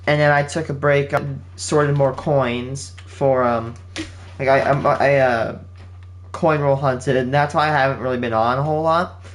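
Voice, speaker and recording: A man's voice: mid-range voice, fairly even intonation, a little slow, a little hiss or hum. Rambling eighteen-year-old man. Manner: rambling, with frequent restarts and fillers. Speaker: channel host. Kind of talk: casual spoken update to viewers. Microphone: home setup with game console running nearby.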